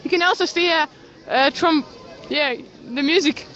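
A young Ukrainian woman with a Ukrainian accent, streaming live from a cold city street in halting English with many restarts, speaking into a phone. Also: high-pitched, fairly bright tone. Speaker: citizen journalist. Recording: lo-fi phone stream with crowd around. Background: crowd of protesters.

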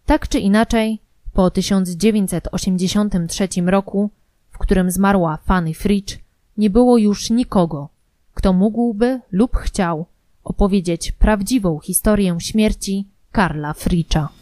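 A woman reading scripted documentary narration in a formal, measured manner. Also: mid-range voice, warm tone, no echo.

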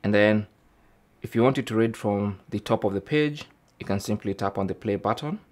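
A man's voice: lowish voice, normal speed, darkish tone. A man presenting a tech tutorial, narrating step by step in a methodical, informal way. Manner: methodical, informal, often tags phrases with "okay".

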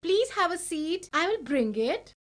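A woman narrating an animated children's story and voicing a scheming sister-in-law. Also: extremely high pitch, unhurried.

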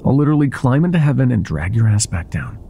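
Man acting a gravelly, tender ex-mafia boyfriend. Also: medium pitch, sing-song, fast talker, slight background noise. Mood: playful, affectionate. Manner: soft, intimate, affectionate, hesitant at times. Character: older ex-mafia boyfriend, tender, teasing, vulnerable bar owner. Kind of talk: intimate boyfriend roleplay ASMR.

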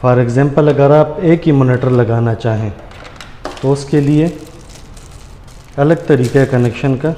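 A man hosts a casual how-to, talking viewers through each step as friends. His voice is soft-edged and dark in tone. It sounds recorded on a camera mic in a hard, echoey room, with faint noise behind him. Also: mid-range voice, unhurried, long pauses. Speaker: tutorial host. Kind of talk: casual hands-on installation tutorial. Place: indoors in a tiled room, hands at a granite counter.

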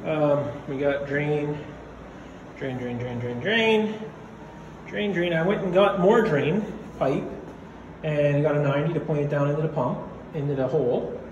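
A man's voice: on the high side, unhurried, lo-fi sound. A man casually narrating his own basement repairs while filming on his phone, rambling and matter-of-fact with many fillers. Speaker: DIY home renovator. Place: concrete basement. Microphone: phone camera held while walking. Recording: echoey basement with background noise.

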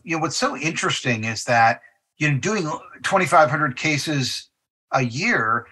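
A man's voice: mid-range voice, pushed and bright, husky. A man from a winery speaking in a podcast interview, emphatic and promotional. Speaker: winery representative.

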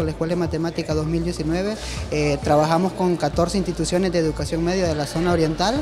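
A middle-aged man, explaining a university math program in an on-camera interview, listing places and ending points with a tag question. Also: fairly high pitch. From El Salvador. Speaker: program coordinator. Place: university event hall, indoors. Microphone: handheld mic.

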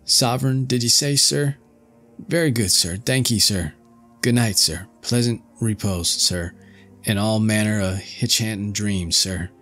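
A man's voice: on the low side, a little slow, fairly bright tone, faint music behind, voice pushed up. A man voicing a nervous, fawning male ship's steward with a stammering, wheedling manner. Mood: eager. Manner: stammering, wheedling, dropped aitches.

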